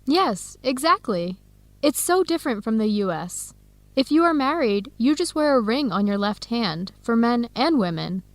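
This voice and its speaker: An actress with an American accent playing an eager, friendly researcher abroad in an educational radio drama, speaking with enthusiasm. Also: female voice, a little high, sing-song, moderate pace, no room sound. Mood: curious, cheerful. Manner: enthusiastic, encouraging. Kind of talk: radio drama acting, clear educational dialogue.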